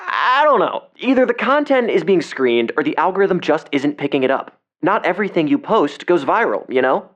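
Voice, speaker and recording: An actor playing a cocky, defensive seventeen-year-old amateur explorer in an audio drama, talking casually and bristling when challenged. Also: male voice, fairly high pitch, very animated, moderate pace, few pauses, fairly bright tone, lo-fi sound. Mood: evasive, casual. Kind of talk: audio drama phone dialogue.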